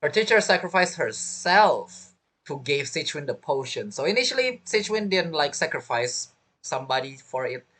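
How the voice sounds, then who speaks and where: Lively male gamer reacting to a story. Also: a little high, normal speed. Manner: playful, informal, interjecting. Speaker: gaming streamer reacting to a game story. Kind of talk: casual reaction commentary.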